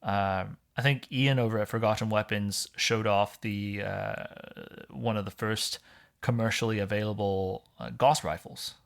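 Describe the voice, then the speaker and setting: A man from Hong Kong, a podcast guest in casual conversation, explaining things in a measured way. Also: on the low side, steady tone, gravelly.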